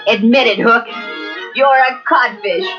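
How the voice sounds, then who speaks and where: A woman's voice: on the high side, a little slow, lightly acted. A woman playing a brave, boastful boy adventurer in a children's storybook, bold and crowing in delivery. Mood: defiant, taunting. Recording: dull lo-fi storybook record with music.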